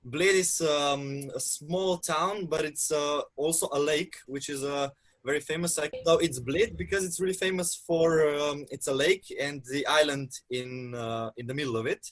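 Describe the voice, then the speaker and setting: Young man, from Slovenia, a bit high-pitched, casual, lots of ums. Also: normal speed. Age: young adult. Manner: relaxed and chatty, with frequent ums and soft laughs. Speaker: interview guest. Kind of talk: casual interview chat.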